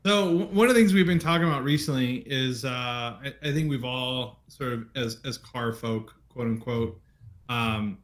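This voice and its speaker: Laid-back host, mid-pitched, rambling car talk with frequent restarts. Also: male voice, moderate pace. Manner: relaxed, rambling, hesitant restarts. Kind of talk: casual car chat.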